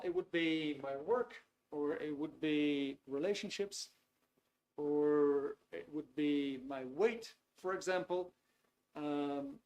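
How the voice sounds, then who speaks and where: Chatty Dutch man in his fifties. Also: a little high. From Netherlands. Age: 50s. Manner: easygoing, chatty, frequent hesitations with ums. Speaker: webinar host. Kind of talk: live online webinar talk. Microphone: video call computer mic.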